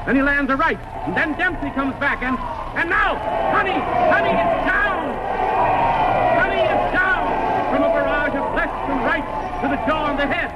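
A man's voice: extremely high pitch, moderate pace, over a noisy crowd. A man giving dramatic, urgent live play-by-play as a sports announcer in 1920s radio style. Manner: dramatic, urgent blow-by-blow delivery. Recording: noisy, muffled old radio recording.

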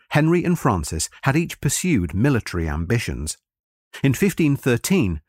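Male audiobook narrator for history: mid-pitched, lively intonation, formal and measured read. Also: dry recording, clean studio sound.

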